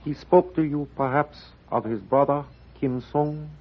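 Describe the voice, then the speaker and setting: An actor playing a grave, dignified older Chinese uncle in a 1950s radio drama, speaking formally, carefully and deliberately. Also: male voice, a little high. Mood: grave, courteous. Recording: muffled vintage radio recording.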